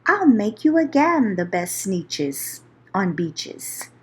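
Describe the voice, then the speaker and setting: A woman voicing a sly, smooth-talking male swindler from a children's storybook, coaxing customers with a theatrical sales pitch. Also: sing-song, takes it slowly. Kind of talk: children's storybook read-aloud.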